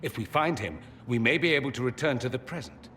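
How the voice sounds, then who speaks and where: Lively, sardonic gentleman mage in a hall male actor. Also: mid-range voice, quick pace, natural voice. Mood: earnest. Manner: wry, articulate. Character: urbane, sardonic male mage, quick-witted and theatrical. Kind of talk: dramatic fantasy game dialogue. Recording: reverberant hall, noisy game ambience.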